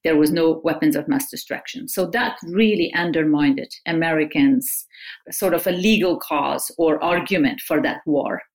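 A woman from Afghanistan, a journalist guest, giving earnest, measured answers in an interview. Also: low-pitched.

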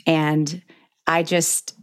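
Low-voiced middle-aged woman hosting a podcast. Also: level tone, very slow, smooth. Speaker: podcast host interviewing a guest. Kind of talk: heartfelt conversational interview.

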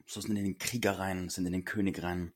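A man hosting a podcast and speaking earnestly to listeners, a little hoarse today. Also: low voice, steady tone, a little fast. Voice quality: husky. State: a bit hoarse. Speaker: podcast host and coach. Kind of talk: solo podcast talk.

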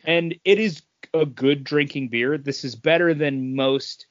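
A man's voice: a little high, unhurried, no room sound. A man co-hosting a podcast in a casual, bantering conversational style. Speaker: podcast co-host. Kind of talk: casual chat. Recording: dull lo-fi dry close recording.

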